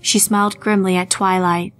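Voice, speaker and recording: A woman narrator reading a scripted horror story in a measured storytelling manner. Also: medium pitch, level tone, a bit bright, clear, resonant tone. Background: music.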